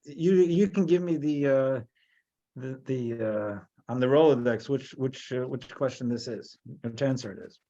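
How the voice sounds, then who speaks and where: An American rabbi from New Jersey leads a Torah class at a middling pitch. He often stalls and repeats small words before pressing on. The recording sounds dull and lo-fi. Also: mellow. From New Jersey, USA. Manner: halting, many restarts and repeated words.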